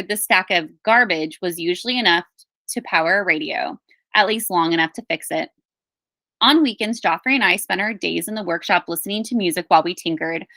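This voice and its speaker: A woman reading a story aloud as scripted narration, in an expressive, emphatic storytelling manner like a teacher with a class book. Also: on the low side, no room sound. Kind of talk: scripted audiobook-style narration of a children's memoir.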